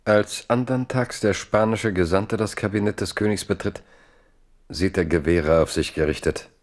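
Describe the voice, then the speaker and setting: Low-voiced solemn male narrator. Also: normal speed. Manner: formal, solemn, chronicle-like. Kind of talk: scripted literary narration in a radio play.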